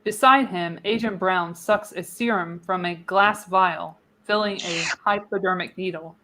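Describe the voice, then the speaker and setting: A woman's voice: fairly low pitch, steady tone, unhurried, pushed and bright, slight background noise. A woman narrating screenplay stage directions for a live radio play, reading expressively with the occasional stumble. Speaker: narrator, show host.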